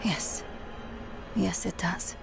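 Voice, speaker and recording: Game heroine: haunted, compassionate young scholar, unhurried, hushed delivery. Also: female voice, music in the background. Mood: resolute, guarded. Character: weary, resolute young woman scholar, haunted but compassionate. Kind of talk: dramatic video game cutscene acting.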